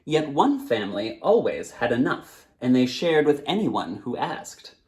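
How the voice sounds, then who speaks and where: A woman's voice: sing-song, a little slow, darkish tone, heavily acted. A woman voicing a withered, kindly old male storyteller who spins tales in a theatrical, mysterious way. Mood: storytelling. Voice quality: rumbling.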